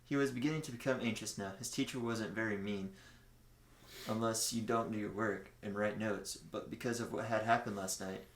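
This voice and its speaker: A man's voice: on the low side, fairly even intonation, fairly quick. A man casually reading a story aloud, mixing amateur narration with banter. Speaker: co-host reading fan fiction aloud. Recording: roomy, echoing room sound.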